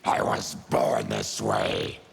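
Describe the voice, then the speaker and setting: A man voicing a feral, diseased monster warrior in a video game, with a gravelly rasp and a grave, menacing, formal manner. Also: extremely low pitch, very animated, takes it slowly, heavily acted. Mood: bitter, brooding. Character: feral, diseased monster warrior, a proud brooding former tribune. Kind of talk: video game character acting.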